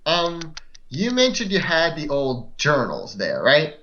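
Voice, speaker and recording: A man's voice: on the high side, wildly swooping pitch, slow, sharp tone, muffled recording. A man playing a tabletop game, chatting casually in a hesitant, questioning way.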